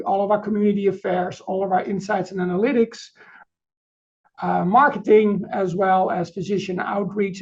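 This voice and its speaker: A middle-aged man from the Netherlands with a Dutch accent, giving a webinar presentation in a halting way, full of fillers and restarts. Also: sits high, vocal fry, darkish tone, highs cut off. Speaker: chief marketing officer presenting.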